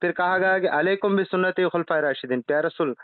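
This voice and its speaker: A man's voice: high-pitched, fast, smooth, no echo. Insistent man arguing over a thin phone line. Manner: argumentative, insistent, interrupting. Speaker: debater in a religious argument. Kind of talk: heated religious debate on a phone call. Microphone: phone call. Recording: thin phone call recording.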